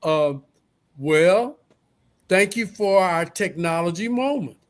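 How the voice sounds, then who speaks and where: A man hosting a talk show, speaking in a halting way and repeating words as he restarts. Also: high-pitched, animated.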